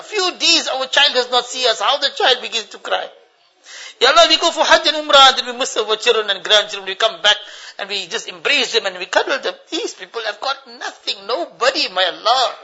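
A man's voice: very high voice, very animated, a little fast, bright, forceful tone. An older man leads a tearful congregational prayer, pleading and repeating each plea with a refrain, his voice choked with tears. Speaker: imam leading a supplication. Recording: dull lo-fi recording.

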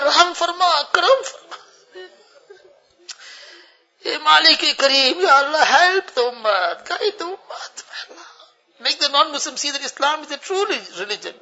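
A man's voice: extremely high pitch, sharp tone. Older man pleading in tearful prayer. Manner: pleading, tearful, repeats each plea with a refrain. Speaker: imam leading a supplication. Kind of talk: emotional congregational prayer. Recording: dull lo-fi recording.

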